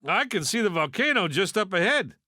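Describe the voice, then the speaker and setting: A man's voice: high-pitched, very animated, fairly quick, bright, forceful tone, no room sound, clean studio sound, heavily acted. A man voicing a wisecracking toy piggy bank in a video game, a brash know-it-all with a sardonic, folksy delivery. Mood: wry, casual. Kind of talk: video game character voice lines.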